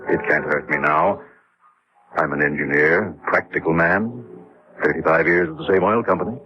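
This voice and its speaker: A man's voice: rumbling low, normal speed, slight background noise. An actor playing a practical, guarded middle-aged male engineer, telling his story matter-of-factly in an old radio drama. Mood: guarded, reminiscing. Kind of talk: 1960s radio drama narration. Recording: dull old radio recording, music behind.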